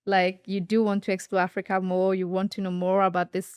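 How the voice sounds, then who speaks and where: A woman's voice: mid-range voice, monotone, a little fast, darkish tone, clean tone, no echo, studio quality. A woman hosting a podcast interview, asking questions and reacting with encouragement. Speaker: podcast host. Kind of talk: casual conversational interview.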